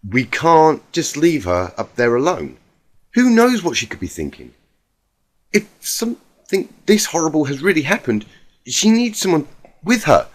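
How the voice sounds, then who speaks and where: Man playing a high, genteel lady unicorn. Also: huge pitch swings, a little slow, heavily acted. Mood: pleading. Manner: genteel, affectionate. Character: refined, concerned female cartoon unicorn, ladylike and caring.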